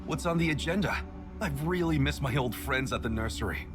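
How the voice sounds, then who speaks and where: An actor playing an easygoing, friendly young man in an anime game, casual and expressive. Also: on the high side, brisk, close to an everyday voice. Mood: relaxed, upbeat. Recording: background music under the voice.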